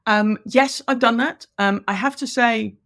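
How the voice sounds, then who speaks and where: A woman's voice: a little high, unhurried, a bit bright. Chatty Irish-born woman with English accent. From Ireland. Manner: enthusiastic and chatty, laughs while explaining. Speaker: guest, business coach. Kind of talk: conversational podcast interview.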